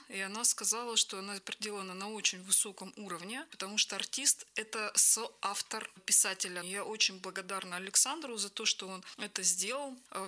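Female writer on radio: mid pitch, very bright tone, measured and sincere. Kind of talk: radio talk.

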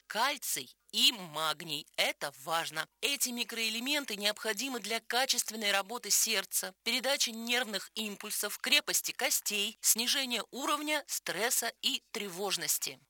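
A woman as the expert in a radio advertisement, giving scripted explanations in a measured, emphatic, instructive manner. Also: on the high side, lively intonation, bright, forceful tone, dry recording.

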